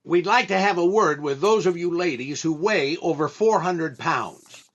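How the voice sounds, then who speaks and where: A man playing a droll, hesitant comedian and disc jockey sidekick in 1940s radio comedy, with a deliberate deadpan delivery and slightly muffled sound. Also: a little high. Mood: droll, selling.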